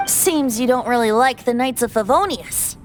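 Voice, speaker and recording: A woman's voice: a little high, lively intonation, moderate pace, slight background noise, close to an everyday voice. A woman voicing a sly, carefree, youthful boy bard in an anime video game, breezy and theatrical. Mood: earnest. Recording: faint music behind.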